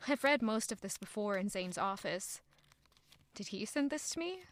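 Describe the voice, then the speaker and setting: An actress playing a young maid who is defensive and in love, thinking aloud with strong emotion in an audio drama. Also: female voice, medium pitch, expressive, moderate pace, a bit bright, lightly acted. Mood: pensive.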